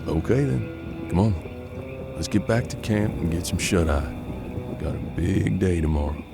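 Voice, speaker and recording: A man's voice: low-pitched, expressive, unhurried, gravelly, music in the background, heavily acted. An American man playing a seasoned, unflappable bounty hunter in a western audio drama, with a folksy, laconic drawl. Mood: firm, businesslike. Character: seasoned, unflappable Old West bounty hunter mentoring his apprentice.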